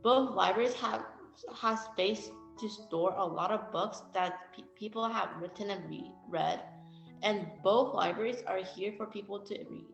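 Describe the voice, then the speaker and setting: A woman's voice: medium pitch, normal speed, vocal fry. A female student reading a scripted class presentation, with roomy echo and music behind.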